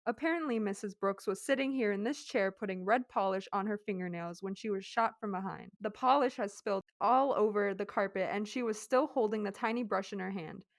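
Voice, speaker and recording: A woman playing a methodical police inspector in a radio drama, speaking in a measured, formal way. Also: mid-range voice, close to an everyday voice. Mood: businesslike, probing. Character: methodical, knowing police inspector questioning suspects. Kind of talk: amateur radio drama acting.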